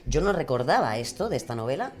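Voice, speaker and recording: Podcast guest, woman, Spain accent. Very low register, expressive melody, casual emphatic banter. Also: fast. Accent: Spain Spanish. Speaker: podcast guest, true crime narrator. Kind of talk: casual chat.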